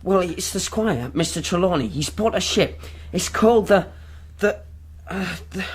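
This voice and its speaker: A man's voice: sits high, lively intonation, unhurried. An actor in a radio drama playing an earnest, polite young cabin boy with rustic speech. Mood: nervous, polite. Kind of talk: radio drama acting and narration.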